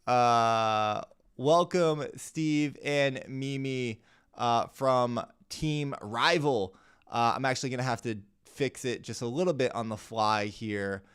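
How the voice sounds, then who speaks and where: A man's voice: mid-range voice, slow pace, a bit bright, smooth. A man hosting a live show, chatting casually with his guests in an interview. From Boston, United States.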